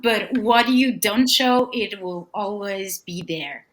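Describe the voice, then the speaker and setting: A woman guest on a podcast panel, talking about film programming in a halting way with many restarts. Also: mid-range voice. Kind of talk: casual panel discussion.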